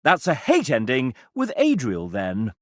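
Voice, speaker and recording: A man's voice: medium pitch, huge pitch swings, no echo, clean studio sound. An actor playing a theatrical, fussy and sardonic man, a video game show host with an arch, dramatic manner. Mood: playfully judging. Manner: arch, dramatic, drawn-out phrasing with big swoops.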